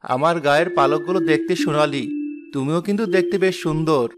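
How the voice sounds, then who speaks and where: A man voicing a gentle, wise golden bird with soothing words in a cartoon moral tale. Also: on the high side, fairly quick, natural voice. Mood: kind, friendly. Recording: music behind the voice. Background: background music.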